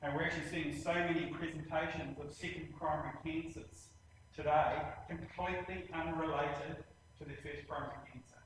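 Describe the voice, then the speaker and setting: A man with a New Zealand accent giving a presentation on hospital plans, speaking plainly with many pauses and a habit of saying "actually". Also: fairly high pitch, steady tone, a bit bright, distant mic, slight background noise. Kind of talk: presentation in a lecture series. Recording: very echoey room, voice from afar, faint ambience.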